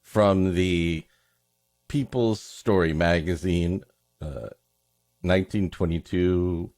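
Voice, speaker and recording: A man's voice: deep voice, slow, a little mellow, no room sound, clean studio sound. A man hosting a podcast with comedic banter and story introductions, playfully mock-dramatic in his delivery. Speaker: podcast host.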